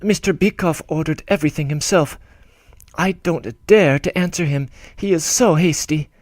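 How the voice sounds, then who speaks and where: A man reading an audiobook, voicing an anxious young woman who pleads in a hurried, fretful letter. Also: a little high, no room sound, voice pushed up, natural voice. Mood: anxious pleading.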